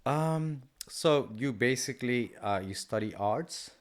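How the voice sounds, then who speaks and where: A man's voice: mid-range voice, lively intonation. Hesitant man in his thirties. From Nepal. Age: 30s. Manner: hesitant, halting, many pauses and fillers. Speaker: guest, artist and kids entertainer. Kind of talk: casual interview chat.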